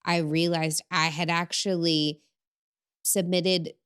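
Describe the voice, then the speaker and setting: A woman in her thirties co-hosting a casual faith-based relationship podcast, speaking calmly and affirming her partner's points. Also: lowish voice, takes it slowly, clean tone. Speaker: podcast co-host.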